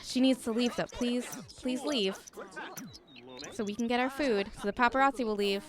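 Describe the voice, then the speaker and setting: A woman's voice: fairly high pitch, normal speed, music in the background. A young woman co-hosting a game stream, giving wry, exasperated commentary in casual chat. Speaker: co-host playing the game. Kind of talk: casual chat while playing. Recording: crowd noise behind.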